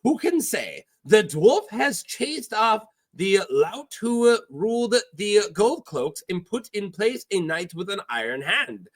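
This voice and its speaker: A flamboyant pirate captain, acted out by a man reading a fantasy story, pitched high and swinging freely up and down. He is boastful and teasing, with a put-on foreign accent and a theatrical, halting lilt. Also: big pitch swings, exaggerated voice. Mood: mocking, scheming. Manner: theatrical, lilting, halting delivery.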